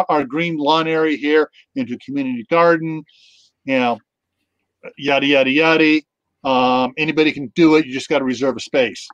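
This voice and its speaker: A man's voice: mid-range voice, no room sound. A middle-aged man hosting a casual video call chat, rambling with many fillers. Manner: rambling, lots of ums and you knows. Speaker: host. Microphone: webcam on video call. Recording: dull, dry webcam audio.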